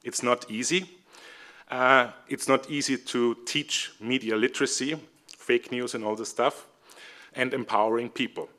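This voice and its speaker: A man's voice: medium pitch, steady tone, measured pace, pushed and bright. A young adult man with an Austrian accent giving a conference presentation, friendly and hesitant with many fillers. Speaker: seminar speaker, civic educator.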